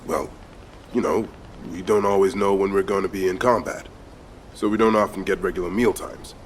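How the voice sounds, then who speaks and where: An actor playing an earnest, dutiful male support officer in a video game, speaking in a measured, polite way. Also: sits low, steady tone, echoey room, slight background noise, close to an everyday voice. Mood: explaining, hesitant.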